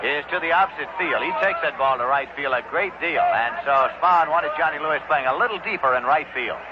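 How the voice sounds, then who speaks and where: An American man calling baseball play-by-play as live radio commentary in a measured, explanatory way. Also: fairly high pitch. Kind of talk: live radio sports commentary with sponsor read. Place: open-air ballpark broadcast booth. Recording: dull vintage AM radio, loud crowd, heavy noise. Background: loud stadium crowd and noise.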